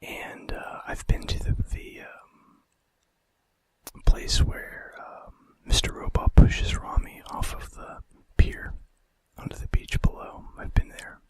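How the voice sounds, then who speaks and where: Slow whispering ASMR man. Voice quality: breathy unvoiced whisper. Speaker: ASMR host. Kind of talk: whispered ASMR fact video.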